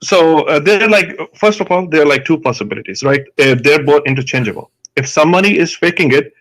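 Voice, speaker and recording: A man's voice: a little high, lively intonation, quick pace, a bit bright, rough recording. A man with an Indian accent, a doctor in a live debate, explaining his points hesitantly with many fillers and restarts.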